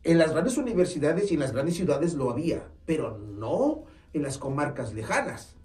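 A man's voice: a little high, very animated, moderate pace, a little mellow. A man in his forties with a Mexican Spanish accent, an earnest and friendly saxophone teacher who talks to camera with hesitant fillers and restarts, in a small shop studio. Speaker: saxophone teacher and music store owner. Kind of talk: informal instructional talk to camera. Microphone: far camera mic. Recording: roomy, distant camera sound, faint music.

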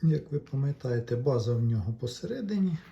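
A man with a soft, dark-toned voice talks viewers through hands-on repair work. His delivery is casual and unhurried, at an average male pitch. A faint layer of ambient noise sits behind him. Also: lively intonation, gravelly, dull audio. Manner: unhurried, talks along while working, addresses viewers. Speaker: electronics repair video maker.

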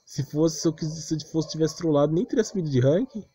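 A man's voice: on the high side, fast talker, dark tone, a little hiss or hum. A Brazilian man ranting casually and unscripted as a gaming video creator, defensive and exasperated, on a close, cheap-sounding mic. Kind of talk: casual unscripted rant over game footage.

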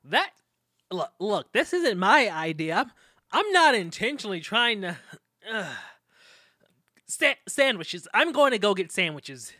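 A man's voice: high voice, very animated, measured pace, bright, forceful tone, dry recording, studio quality, heavily acted. A man playing an awkward, earnest young student in an audio drama, prone to flustered stammering. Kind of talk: modern audio drama acting.

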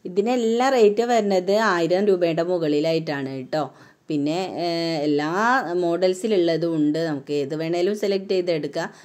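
A mother with a Kerala accent chatting casually while filming a walk through a shopping mall on her phone, often ending sentences with a soft tag word. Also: fairly low pitch, moderate pace. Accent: Malayalam, Kerala. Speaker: vlogger, mother touring a mall with her daughter. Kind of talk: casual walk-around vlog narration. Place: large indoor shopping mall. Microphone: phone camera held while walking.

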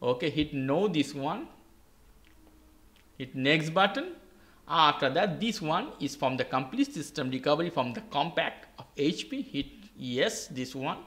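Guy doing a tech how-to, kinda high sharp bright voice, non-native english, short repeated steps. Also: expressive, unhurried. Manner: non-native English phrasing, repetitive instructions. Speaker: tech tutorial host. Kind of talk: step-by-step how-to narration. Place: indoors at a desk with a laptop.

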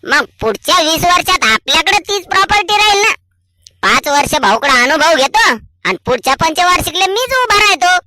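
A cocky young cartoon villager played by a man, high-pitched and bright. He argues back cheekily in a rural Maharashtrian accent, full of ambition. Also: very animated, normal speed, heavily acted. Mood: cheeky. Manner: argumentative, cocky. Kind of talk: cartoon comedy voice acting.

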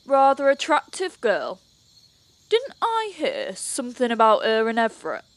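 A woman acts out a nosy, well-mannered party guest in a period radio mystery. The voice is fairly high and unhurried, with polite, probing phrasing. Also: wildly swooping pitch. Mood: prying. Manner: polite, clipped, inquisitive, upper-class phrasing. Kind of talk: 1930s-set radio play acting.

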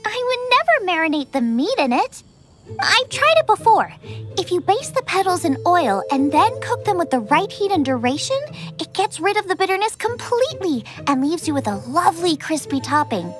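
A girlish young chef in a video game, very high in pitch and lively in melody. She is confident and eager, speaking with assured enthusiasm. Music plays behind her. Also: normal speed. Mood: earnest, explanatory. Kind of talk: English video game voice acting.

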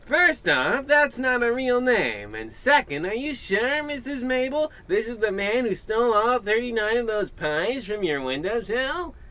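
A man's voice: very high voice, big pitch swings, a little slow, hardly any pauses, bright, forceful tone, heavily acted. A man acting a self-important, dim-witted policeman with an officious manner in an old-time radio comedy. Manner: officious, redundant.

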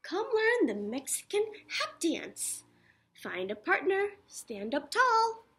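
A young woman teacher reading a children's picture book aloud in an animated, instructive way. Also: very high-pitched, takes it slowly. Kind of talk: children's picture-book read-aloud with sing-song refrains. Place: small indoor classroom.

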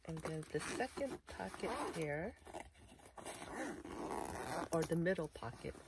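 A woman's voice: deep voice, animated, slow, heavy background noise. A middle-aged woman casually showing off a backpack, talking in a rambling, chatty way full of "you know" and restarts, recorded indoors close to the camera. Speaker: vlogger reviewing a backpack. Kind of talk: casual unboxing show-and-tell. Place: indoor room full of collectibles.

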